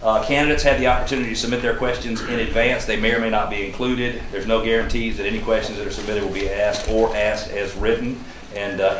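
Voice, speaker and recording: A man moderating a candidate forum, explaining procedures briskly with some hesitant fillers. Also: fairly low pitch. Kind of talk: procedural announcements. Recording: roomy hall, faint noise.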